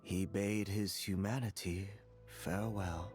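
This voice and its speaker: A cold, knowing sage from a video game. His deep voice moves slowly with measured foreboding, over faint music. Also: fairly even intonation, a little mellow. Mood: cold, ominous. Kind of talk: dramatic video game voice acting.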